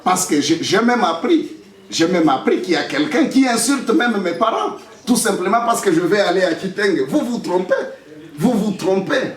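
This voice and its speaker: A man's voice: sits high, animated, normal speed. A young man with a Congolese French accent giving an emphatic, defiant public statement, repeating phrases for effect. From Democratic Republic of the Congo. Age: young adult. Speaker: lawyer and political candidate. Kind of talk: press statement answering accusations. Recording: echoey room, distant microphone.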